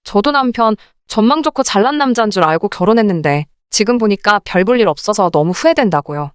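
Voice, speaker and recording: A woman reading a scripted first-person story in an expressive, indignant radio drama style. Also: mid-range voice, brisk, clean tone, no echo, studio quality. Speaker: narrator of dramatized listener stories.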